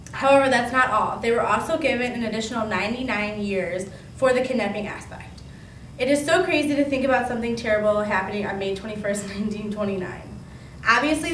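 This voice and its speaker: A woman's voice: fairly high pitch, fairly bright tone, close to an everyday voice. A woman playing an earnest 1920s news reporter, reading a script plainly with small slips. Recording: echoey room, distant mic, background noise.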